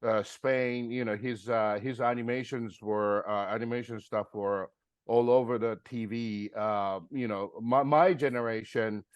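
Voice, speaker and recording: A man's voice: mid-range voice, steady tone, unhurried, smooth, dry recording. A middle-aged man from Japan, speaking earnestly as a filmmaker guest, full of fillers and restarts. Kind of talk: interview conversation.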